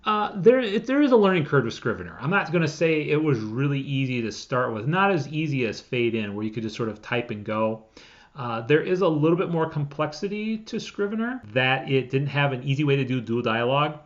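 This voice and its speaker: A man reviewing software in a casual, conversational and informal way, talking as if straight to his viewers. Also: mid-range voice, big pitch swings, a little fast, highs cut off. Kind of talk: casual scripted-outline product review.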